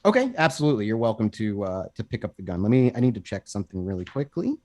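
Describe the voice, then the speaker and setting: A man's voice: on the low side, big pitch swings, rapid-fire, darkish tone, dry recording, clean studio sound. A man running a tabletop game as game master, narrating in an improvised, conversational way.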